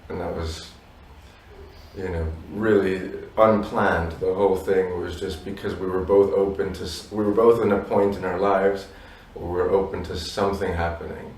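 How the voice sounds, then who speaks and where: Low, flat-voiced man in an echoey room. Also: normal speed, a little hiss or hum. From abroad, Polish parents. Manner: reflective, many "you know" fillers. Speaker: musician guest. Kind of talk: relaxed interview answers. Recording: roomy echo from a distance.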